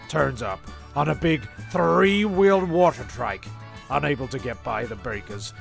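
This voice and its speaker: A man, narrating and voicing characters in a deadpan, exasperated way. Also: on the high side, creaky. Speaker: narrator and show creator. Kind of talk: comedy narration.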